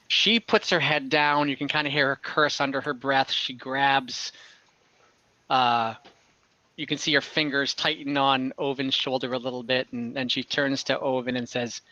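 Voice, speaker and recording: A man narrating an online tabletop game as game master, describing scenes in a halting, improvised way over a lo-fi call. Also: medium pitch, pushed and bright, dry recording.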